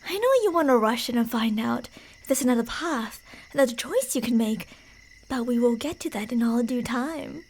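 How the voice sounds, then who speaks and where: A woman's voice: on the high side, expressive, normal speed, slightly rough, rough recording. A woman performing ASMR horror roleplay as a creepy, delusional stalker, narrating in a playful, menacing way that slips into whispers. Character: creepy, teasing, delusional female yandere stalker narrating her prey's path.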